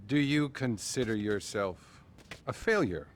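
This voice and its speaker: An actor playing a calm, clinical psychiatrist who speaks in a measured, unflappable way, in a video game cutscene. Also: male voice, mid-range voice, slight background noise, lightly acted.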